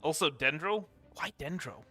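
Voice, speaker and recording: A man's voice: on the high side, animated, normal speed, bright, forceful tone, soft background music. A man livestreaming a game with casual commentary, rambling and thinking aloud.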